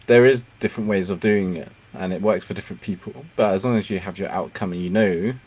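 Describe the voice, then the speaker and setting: Low-voiced man hosting, laughs often. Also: quick pace, slightly rough. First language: Cantonese. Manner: laughs often, warm and encouraging. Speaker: podcast host. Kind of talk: casual interview chat.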